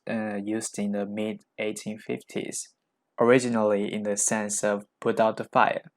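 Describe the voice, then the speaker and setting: A man teaching vocabulary, switching between Chinese explanations and English example sentences read aloud. His voice sits a bit low, with a gravelly, creaky edge.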